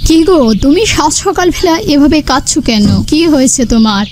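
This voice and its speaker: A woman's voice: sits high, lively intonation, moderate pace, noisy background. A woman voicing a defiant, scolding young village wife in a cartoon. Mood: defensive. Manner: scolding, complaining. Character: quarrelsome, defiant young village wife cursed to turn tiger. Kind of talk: dubbed cartoon dialogue.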